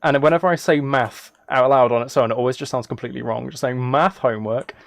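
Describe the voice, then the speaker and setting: A man's voice: medium pitch, fast talker, vocal fry, no echo. A British man streaming a video game, chatting casually in a rambling, self-deprecating and apologetic way. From United Kingdom. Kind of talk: casual livestream chat while gaming.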